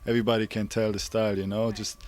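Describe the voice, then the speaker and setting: A man from Germany, a graffiti artist, answering in an unhurried way while searching for words. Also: lowish voice, level tone, a little mellow. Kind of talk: casual interview answers.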